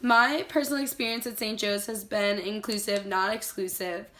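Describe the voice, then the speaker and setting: A young woman speaks in a composed, measured way, keeping her tone very even throughout. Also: medium pitch. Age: young adult. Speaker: student interviewee. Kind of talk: interview answer.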